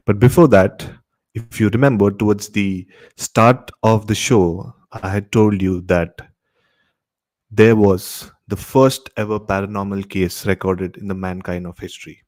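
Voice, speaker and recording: A man's voice: fairly low pitch, darkish tone. A man with an Indian accent hosting a horror radio show, telling stories in a dramatic narrating style. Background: music.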